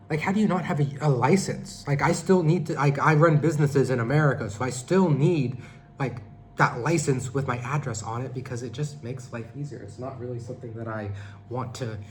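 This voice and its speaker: A man in his thirties from California talks casually through a vlog update. He rambles and restarts his sentences in a relaxed, conversational manner. A faint hum sits under the recording. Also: medium pitch. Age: 30s. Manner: rambling, conversational, self-correcting. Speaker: vlogger.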